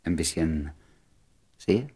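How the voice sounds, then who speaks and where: An actor playing a tender, earnest man in love in a German radio drama, speaking softly and intimately. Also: a bass voice, expressive, slow pace, breathy edge, heavily acted. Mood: ardent, longing.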